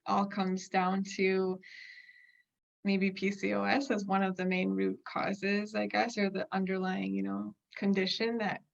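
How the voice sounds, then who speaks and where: A woman's voice: mid-range voice, flat delivery, measured pace, creaky, lo-fi sound. A woman in her twenties hosting a podcast in a conversational way over a video call. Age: 20s. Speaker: podcast host. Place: indoor room.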